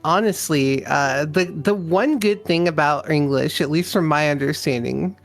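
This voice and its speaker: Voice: medium pitch, soft background music. A guest on a stream chatting casually, joking around and laughing often. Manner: slow, drawn-out, joking.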